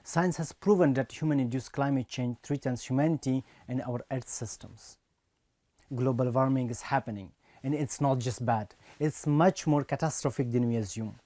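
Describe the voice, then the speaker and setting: Young man from Afghanistan, measured prepared speech, mellow tone, dry close sound. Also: medium pitch, no echo. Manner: earnest, measured delivery. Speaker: speech reader.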